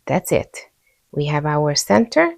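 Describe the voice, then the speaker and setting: A woman's voice: sits low, very animated, slow, gravelly, darkish tone. A woman with a Hungarian accent narrating a step-by-step craft tutorial in an easygoing, informal way. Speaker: host of a crochet tutorial channel.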